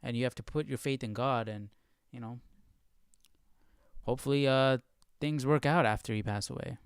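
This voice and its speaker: A man's voice: medium pitch, normal speed, gravelly, clean tone, no room sound. A man talks casually as the host of a Bible study stream, with a clean, resonant voice recorded dry. He speaks off the cuff, searching for his words and drawing out phrases for emphasis. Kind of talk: casual unscripted commentary.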